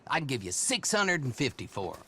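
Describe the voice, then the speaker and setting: An actor playing a chatty, folksy, teasing rural horse dealer with an American Southern accent. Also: male voice, medium pitch, big pitch swings, fairly quick, bright, forceful tone, a little hiss or hum. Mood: sly haggling. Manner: garrulous, folksy, sly haggling.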